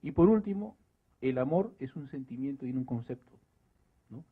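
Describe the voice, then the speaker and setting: Husky man on a narrow, muffled recording. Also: mid-range voice, animated, moderate pace. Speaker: debate panelist. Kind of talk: spontaneous analytical commentary at a debate. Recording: narrow, phone-like debate recording.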